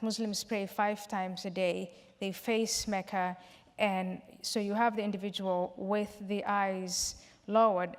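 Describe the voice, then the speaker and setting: Woman from somalia answering questions on a panel, measured and explaining, middle pitch, lots of hall echo. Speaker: panel guest. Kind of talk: public Q&A discussion. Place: large hall. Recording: reverberant hall.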